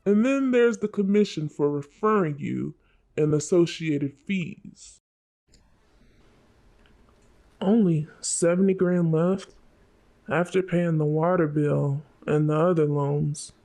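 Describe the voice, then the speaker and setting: A man voices a callous yakuza moneylender in an animated motion comic. His delivery is slow and menacing, with a gravelly, dark edge. Music plays behind him. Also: a little high. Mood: businesslike. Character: callous, threatening male yakuza loan shark. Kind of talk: fan motion comic voice acting.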